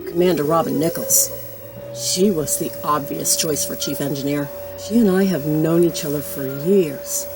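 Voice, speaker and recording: A woman's voice: deep voice, normal speed, over music, poor audio. A woman playing a confident, newly appointed starship captain in a science fiction audio drama, composed and authoritative. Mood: reflective, determined.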